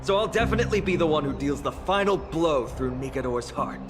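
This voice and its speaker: An actor plays a young male hero in a fantasy game. He is confident and courteous, with lively, varied intonation and an earnest manner over game music. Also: a little high, fairly quick, lightly acted. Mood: teasing, confident.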